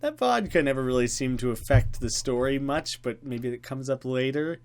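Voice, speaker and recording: A man's voice: medium pitch, lively intonation, moderate pace, clear, resonant tone, no echo. A man doing a let's play, reading a visual novel aloud and dropping wry, joking asides. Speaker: gaming YouTuber.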